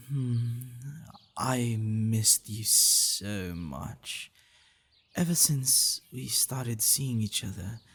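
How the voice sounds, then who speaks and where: Man as a low, husky dragon boyfriend. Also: exaggerated voice. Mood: tender longing. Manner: soft, doting, hesitant with breathy pauses. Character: affectionate, protective, bashful male dragon boyfriend. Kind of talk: ASMR boyfriend roleplay.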